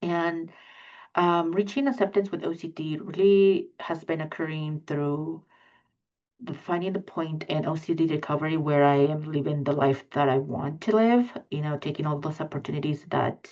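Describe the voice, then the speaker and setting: A woman with a Mexican accent speaks in a low voice, earnest and halting, full of fillers and restarts. Her audio is dull and lo-fi, like a video call. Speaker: panelist. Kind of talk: live panel discussion.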